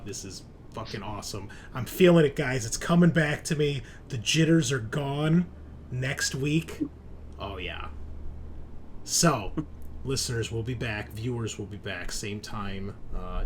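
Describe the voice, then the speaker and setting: A man hosting as game master, improvising narration and chat in a deliberate, suspenseful storyteller's way. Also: medium pitch, a little hiss or hum.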